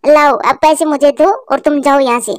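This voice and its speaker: A woman's voice: steady tone, normal speed, no room sound, clean studio sound, close to an everyday voice. A woman voicing a bossy, greedy female crow in a cartoon. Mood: scheming. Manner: shrill, bossy.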